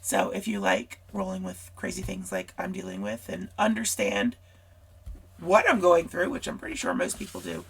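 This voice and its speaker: A woman hosting a scrapbooking vlog, hoarse from a cold and losing her voice, chatty and rambling as she talks straight to her viewers. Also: lowish voice, big pitch swings, normal speed. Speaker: scrapbooking channel host. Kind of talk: casual craft supply walkthrough.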